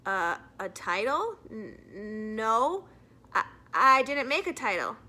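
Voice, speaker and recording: A woman's voice: on the high side, big pitch swings, slow, bright, forceful tone, heavily acted. A woman voicing a nervous but earnest schoolboy in a children's storybook, speaking plainly and a little hesitantly while giving a report.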